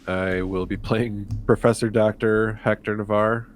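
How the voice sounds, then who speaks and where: A man's voice: on the low side, steady tone, measured pace, slight background noise. A man chatting casually and a little hesitantly as a player on an online tabletop game podcast. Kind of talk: casual chat. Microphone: remote video call.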